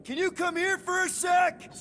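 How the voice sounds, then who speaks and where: Casual, rambling space crew leader. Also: male voice, very high voice, little pitch movement, a little slow, sharp tone, raised voice, slight background noise, full character voice. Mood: curious, awkward. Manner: casual, rambling, boyish slang. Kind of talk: video game voice acting.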